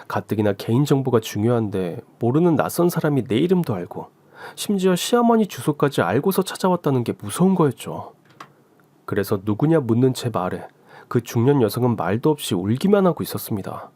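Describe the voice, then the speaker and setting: A man's voice: medium pitch, dark tone, no room sound. A man narrating a scripted first-person radio story.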